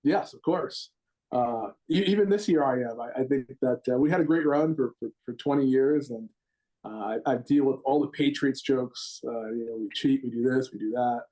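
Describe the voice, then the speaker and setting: A man's voice: mid-range voice, moderate pace, mellow, low-quality recording. A middle-aged man with a Rhode Island accent, a coach answering podcast interview questions in a rambling way, with many repeated words and restarts. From Rhode Island, USA. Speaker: gymnastics head coach, guest.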